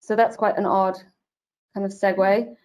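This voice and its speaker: A woman's voice: medium pitch, flat delivery, normal speed, darkish tone. A woman raised in Switzerland and Germany, speaking as an interview guest over a video call in a chatty, digressive way. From born in Northern Ireland, raised in Switzerland and Germany. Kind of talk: casual interview chat.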